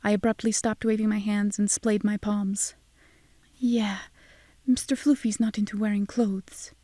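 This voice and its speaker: A woman's voice: mid-range voice, flat delivery, a little slow, dark tone, no echo. A woman narrating a scripted first-person audio drama in a wry, sardonic manner.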